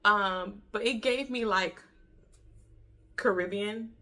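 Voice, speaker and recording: A woman's voice: mid-range voice, takes it slowly, pushed and bright. An American woman in her early thirties giving a casual book review in an informal, chatty way, repeating herself for emphasis. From Detroit, Michigan, USA.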